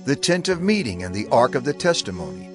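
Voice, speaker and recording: Lively-pitched man reading scripture solemnly. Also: brisk, over music. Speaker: scripture reader.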